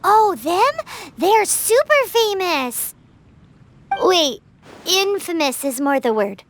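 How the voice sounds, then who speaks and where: A woman voicing a tiny floating female companion, chatty and excitable, with a squeaky, exclamatory way of talking in a game cutscene. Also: slow, a little hiss or hum, exaggerated voice. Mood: curious.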